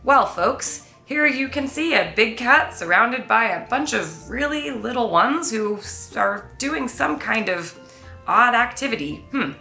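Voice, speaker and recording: Woman voicing an excitable baby kitten safari guide, bright. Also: a little high, a little slow, gravelly, echoey room, soft background music, exaggerated voice. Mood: puzzled, improvising. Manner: theatrical tour-guide patter, exclaiming.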